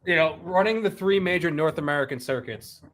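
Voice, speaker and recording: A man's voice: a little high, fairly quick, fairly bright tone, slight background noise. Rambling host in his thirties. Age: 30s. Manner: rambling, many restarts, reads out stats. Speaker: podcast host. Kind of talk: casual interview chat.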